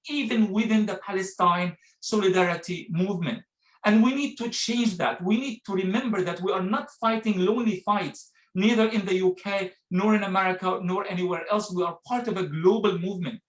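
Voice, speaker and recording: A middle-aged man giving a rousing speech over a video call, with a few hesitant fillers. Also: sits high. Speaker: guest speaker. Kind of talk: speech at an online meeting. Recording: echoey room.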